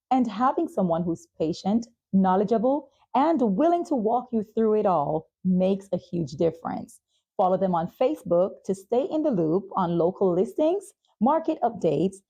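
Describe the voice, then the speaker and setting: A woman with a mellow, softly shaded voice at a middle pitch. She speaks as a podcast host, presenting to her audience. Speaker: talk show and podcast host. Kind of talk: interview hosting and scripted sponsor reads.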